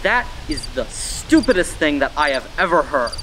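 A man's voice: fairly high pitch, very animated, normal speed, heavy background noise. A man playing a bossy, defensive young older brother in a dramatic audio drama. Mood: angry, defensive. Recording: faint outdoor night ambience, crickets.

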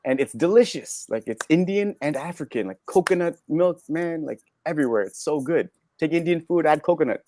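A man with a Canadian accent, a podcast guest telling personal stories candidly, halting and correcting himself in an animated way. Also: a little high, a little hiss or hum. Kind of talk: candid personal storytelling in conversation.

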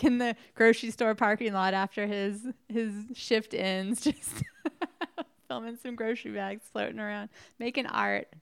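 A woman co-hosting a casual chat, giggly and teasing, answering questions in a relaxed way. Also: medium pitch, gravelly.

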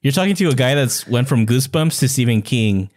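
A man's voice: mid-range voice, animated, a little fast, a little mellow. A man co-hosting a podcast, talking casually about books and fandom in a laid-back, wry, jokey way. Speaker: podcast co-host. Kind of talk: casual book and fandom chat.

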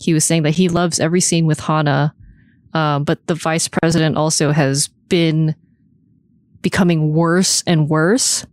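A woman's voice: low-pitched, steady tone, measured pace, a little mellow, no room sound, clean studio sound. A woman chatting casually and swearing as a podcast panelist.

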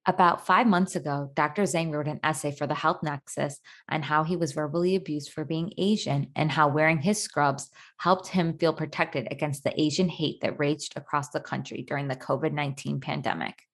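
Woman podcast host, deep voice, creaky fry, composed scripted narration.

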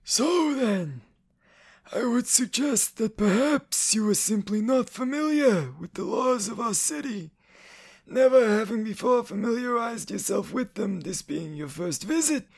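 A cruel, smug inhuman official for a fantasy audio drama. The voice is pitched high and creaks, swooping through formal, condescending threats with measured menace. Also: male voice, lively intonation, heavily acted.

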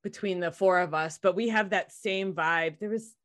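A woman's voice: on the low side, normal speed, a bit bright. A woman co-hosting a podcast and sharing anecdotes in casual conversation. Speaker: podcast co-host.

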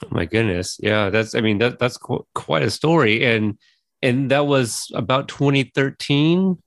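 A man's voice: medium pitch, expressive, measured pace, no room sound, studio quality. A man interviewing a film director, asking rambling questions full of fillers and admiring remarks.

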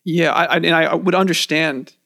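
A man's voice: a little high, fairly even intonation, moderate pace, bright, forceful tone, no echo, studio quality. A man co-hosting a casual music podcast, wry and joking. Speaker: podcast co-host. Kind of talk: casual chat.

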